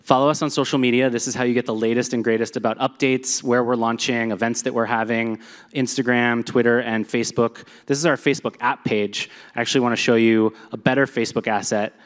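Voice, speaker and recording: A man's voice: mid-range voice, flat delivery, brisk. A man giving a live presentation to an audience, talking in an upbeat, conversational way with some fillers. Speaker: presenter at a company meetup. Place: large echoey hall with a live audience. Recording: long hall reverb.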